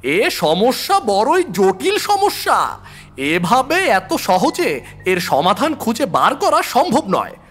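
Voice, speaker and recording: Guy doing an excited insistent buddy for a horror tale, voice pushed way up high, emphatic. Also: takes it slowly, breathy edge, in a large hall, exaggerated voice. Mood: urgent advising. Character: earnest, persuasive male friend with firm faith.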